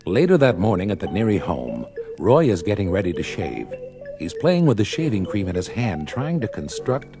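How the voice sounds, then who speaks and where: Male narrator for story record: lowish, dramatic, varied intonation, music underneath. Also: sing-song, normal speed.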